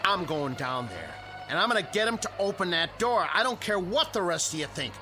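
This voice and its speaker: A man's voice: sits high, very animated, brisk, music in the background. An American actor playing a belligerent, threatening suburban man leading a panicked mob in a radio drama. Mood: menacing. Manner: forceful, threatening.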